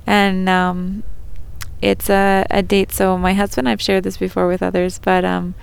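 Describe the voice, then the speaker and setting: A woman chatting casually and speaking softly, with halting phrasing. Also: medium pitch, monotone, gravelly, smooth. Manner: soft-spoken, halting, with frequent laughs. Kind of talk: casual chat.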